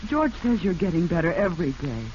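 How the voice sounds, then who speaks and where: Devoted wife, coaxing, earnest, very expressive pitch, vintage radio drama, muffled recording. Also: female voice, fast, a little hiss or hum. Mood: pleading, earnest. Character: patient, pleading, devoted young wife. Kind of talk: 1940s radio acting.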